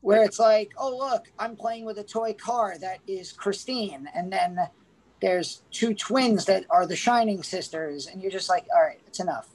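A man co-hosting a casual movie podcast, relaxed and knowledgeable, talking with frequent fillers. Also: high-pitched, unhurried, rough recording. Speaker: podcast co-host. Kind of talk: casual movie review chat.

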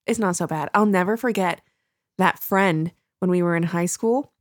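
A woman's voice: on the low side, normal speed, no echo. A young woman co-hosting a podcast on a studio mic, talking animatedly and laughing often. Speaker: podcast co-host. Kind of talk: casual chat.

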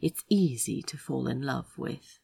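A genteel, amiable man in an audio drama as acted by a woman, with a husky, creaky voice. The lines are politely phrased and lively in pitch, recorded close and dry. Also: a little slow, no room sound, heavily acted. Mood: pleased, nostalgic. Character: Aziraphale, genteel, friendly man who smokes on occasion. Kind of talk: fan fiction podfic character acting.

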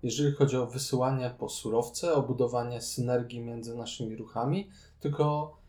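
A man with a dark, relaxed voice at an ordinary pitch, chatting as a podcast co-host. His phrasing is informal and a bit halting, and the room echoes clearly around him. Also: faint music behind. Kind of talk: casual conversation about board games. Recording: roomy, echoing room sound.

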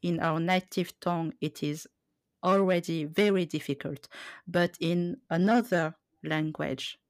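A woman hosting a podcast, talking slowly and haltingly and restarting her words often. Her voice sits very low for a woman, and the sound is dry and close. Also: no echo. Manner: hesitant, halting, many restarts. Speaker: podcast host. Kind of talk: casual podcast conversation.

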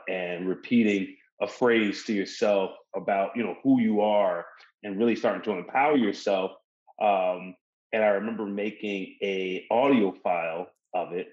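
A man's voice: deep voice, a little mellow. A man hosting a casual interview podcast, talking in a hesitant way and repeating his words and phrases. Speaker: podcast host. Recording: roomy echo, dull lo-fi sound.

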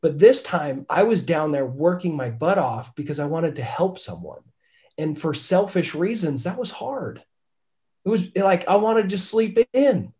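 A man, a real estate investor and podcast guest, telling his story candidly in a casual interview, his voice raspy from illness. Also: on the high side, expressive, a little slow, warm tone. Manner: candid, says "right?" often.

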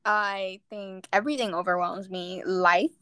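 A young woman co-hosting a podcast, chatting casually and telling quick stories with asides. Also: mid-range voice, fairly even intonation, bright, forceful tone, clean tone, dry recording, clean studio sound. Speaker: podcast co-host. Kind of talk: casual chat.

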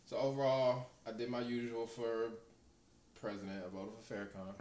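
A man's voice: mid-range voice, moderate pace, a little mellow. A man in his late thirties in casual podcast chat, telling a story in a laid-back, profane way and quoting his own retorts. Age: 30s. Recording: echoey room with faint hiss.

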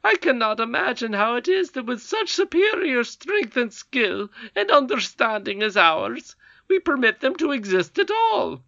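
Goblin queen played by a woman, shrill, grand, cruel, gravelly edge, storybook. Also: very animated, a little slow, fairly bright tone, dry recording, muffled recording, full character voice. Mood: imperious. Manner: shrill, sweeping, imperious. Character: haughty scheming goblin queen, greedy and cruel.

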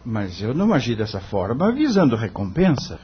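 A man's voice: medium pitch, huge pitch swings, moderate pace, husky, lo-fi sound, heavily acted. An actor playing an earnest, discouraged young male lawyer in a radio drama, respectful and pleading, with a Brazilian accent. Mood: doubtful.

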